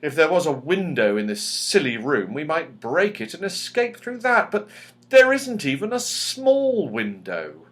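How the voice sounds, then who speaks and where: A man acts a boy from a children's storybook. He is practical and a bit gloomy, matter-of-fact yet varied in pitch. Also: very animated, measured pace, a bit bright.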